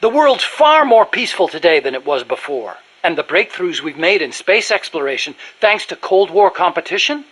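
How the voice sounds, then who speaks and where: Animated, high-pitched husky male scientist. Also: moderate pace, few pauses, full character voice, poor audio. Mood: earnest, persuasive. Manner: earnest, animated explaining. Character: earnest, talkative male American nuclear scientist. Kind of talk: video game voice acting.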